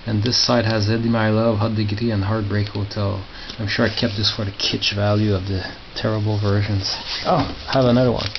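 A middle-aged man giving casual, halting commentary as he reads out titles and mutters asides. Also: level tone. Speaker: record collector showing his collection. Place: small tiled indoor room by storage crates. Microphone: handheld camera held by the speaker. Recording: muffled, noisy low-grade camera audio with clicks.

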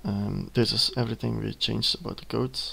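Hesitant Dutch-accented young man, gravelly. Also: on the low side, fairly even intonation, fairly quick, pushed and bright. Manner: hesitant, many ums and uhs, self-correcting. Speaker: student giving a tutorial. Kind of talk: unscripted screen-recorded software tutorial. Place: at a computer, indoors.

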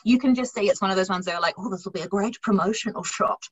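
A woman in her thirties with a slightly rough, husky voice of middling pitch. She chats casually and jokes in an animated way, on a video call from home. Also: fast talker. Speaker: podcast panellist. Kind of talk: casual chat.

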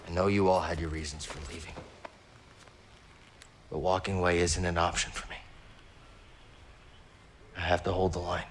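An actor playing a weary, stubborn young warrior in a video game, speaking in a restrained, earnest way. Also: male voice, deep voice, flat delivery, moderate pace, long pauses, natural voice. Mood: weary, resolute. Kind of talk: video game cinematic acting. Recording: faint background noise.

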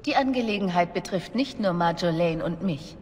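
A woman's voice: on the low side, animated, moderate pace, natural voice. An actress playing a vengeful, determined young female bard wounded by betrayal in a dubbed video game drama. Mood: insistent. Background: loud music.

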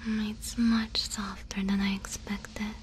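Woman playing a slow, whispering, polite alien researcher. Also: mid-range voice, little pitch movement, a little hiss or hum, lightly acted. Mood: gentle, careful. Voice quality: breathy whisper. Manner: formal, measured, careful. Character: polite, clinical, curious female alien researcher. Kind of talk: whispered ASMR roleplay.

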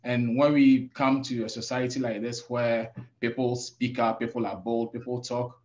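A man from Africa who works as a safety professional, speaking on a webinar panel in a measured, reflective way with many pauses. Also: medium pitch, flat delivery, a little mellow, muffled recording. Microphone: video call.

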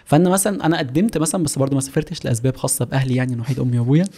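A man's voice: mid-range voice, fairly even intonation, racing, mellow, husky. A man in his twenties with an Egyptian Arabic accent, chatting casually as a podcast guest at a close studio microphone. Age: 20s. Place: small home-style studio office, indoors. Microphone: close studio microphone on boom arm.

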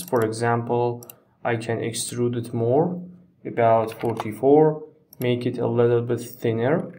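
Man, software instructor, unhurried pace, halting non-native phrasing, step-by-step narration, distant mic. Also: mid-range voice, a little hiss or hum. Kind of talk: step-by-step screen tutorial narration.

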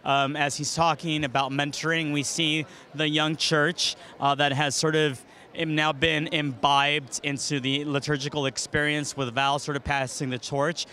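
Bright-voiced man interviewing at an event. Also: fairly high pitch, little pitch movement, normal speed. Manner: upbeat, chatty with fillers. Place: large indoor arena floor. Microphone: handheld mic.

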